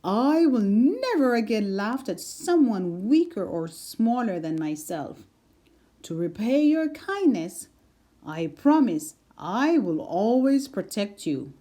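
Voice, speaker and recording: A woman voicing a proud, strong male lion who speaks loudly and firmly. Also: medium pitch, big pitch swings, slow pace. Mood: grateful. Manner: louder, firm and proud.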